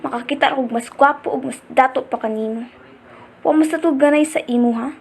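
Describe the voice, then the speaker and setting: A woman's voice: high voice, a little fast, creaky, a little mellow. A young woman playing a shallow, vain young woman who is dumped and lashes out, in a student radio drama. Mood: scornful.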